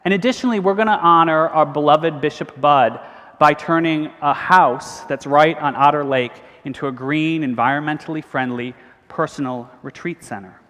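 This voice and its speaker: A man's voice: fairly high pitch, moderate pace, fairly bright tone. Earnest man speaking in a reverberant cathedral. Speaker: priest. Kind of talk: convention speech. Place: large cathedral. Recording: long hall reverb.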